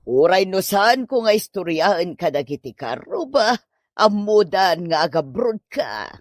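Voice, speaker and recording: An actress as a nosy older auntie in an Ilocano comedy drama. She talks slowly and slyly friendly, with wide swings of pitch and an Ilocano accent. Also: very animated, no room sound, studio quality. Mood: prying, friendly.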